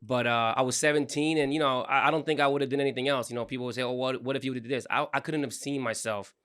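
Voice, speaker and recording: Smooth-voiced man telling stories casually. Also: medium pitch, fairly even intonation, very fast, a bit bright. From Puerto Rico, raised in New Jersey. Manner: rambling, repeats words and restarts often. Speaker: guest, credit repair business owner. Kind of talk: casual storytelling in interview.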